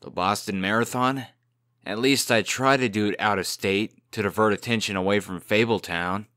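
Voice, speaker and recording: A man's voice: fairly low pitch, steady tone, moderate pace, pushed and bright, no echo, studio quality. A man playing a cocky, glib and defensive young fairy-tale trickster in a comic dub drama. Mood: cocky, indignant.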